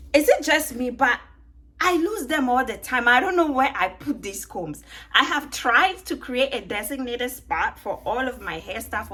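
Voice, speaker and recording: A woman's voice: on the high side, a bit bright, a little hiss or hum. A woman in her thirties with a mixed Ghanaian, Italian, British and American accent, chatting and joking to camera at home while detangling her hair. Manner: chatty, joking, laughs often, asks viewers questions. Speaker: vlogger.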